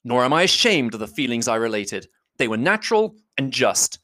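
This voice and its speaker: A reader voicing a proud, stiff gentleman who speaks in a measured, contemptuous, slow way. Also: medium pitch, very animated. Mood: cold. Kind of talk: audiobook reading.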